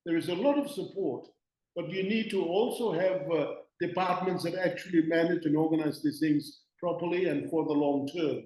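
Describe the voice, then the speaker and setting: Man, from Papua New Guinea, panelist, hesitant, fillers, slightly high voice, echoey video call. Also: darkish tone, slight background noise. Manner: measured, hesitant, many fillers.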